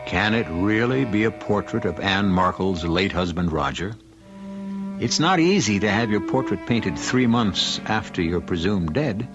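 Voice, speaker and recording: A man's voice: on the low side, expressive, measured pace. A man playing the wry, suspenseful host of an old-time radio mystery, narrating with theatrical, insinuating phrasing. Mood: wry, teasing. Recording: muffled vintage radio recording, music behind.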